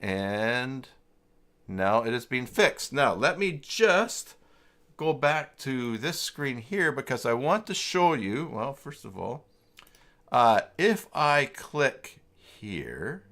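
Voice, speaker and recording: A man hosting a casual live software tutorial, talking informally and haltingly as he thinks aloud while chatting with viewers. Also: mid-range voice, big pitch swings. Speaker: livestream host demonstrating website tools.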